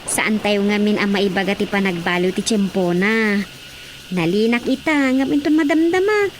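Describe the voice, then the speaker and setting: A woman's voice: mid-range voice, moderate pace, slight background noise, lightly acted. An actress playing a young woman who worries over fishermen, cautioning them earnestly in a radio drama. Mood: worried.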